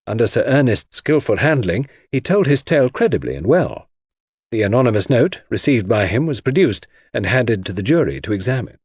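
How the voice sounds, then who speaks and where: Male narrator for classic mystery audiobook: formal, lively intonation, husky tone, dry close sound. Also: mid-range voice, fairly quick, no room sound. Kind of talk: scripted narration of classic crime fiction.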